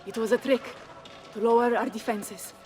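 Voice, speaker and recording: An actress playing a confident, commanding Italian noblewoman with an Italian accent and a brisk, teasing manner. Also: on the high side, steady tone, a little mellow, soft background music, natural voice. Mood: apologetic.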